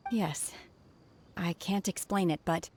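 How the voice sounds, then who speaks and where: A woman's voice: on the low side, steady tone, slow pace, a little mellow, a little hiss or hum. A woman playing a reserved, earnest young disciple in a video game, restrained and plain-spoken. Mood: quiet contentment. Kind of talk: scripted video game character acting. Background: music.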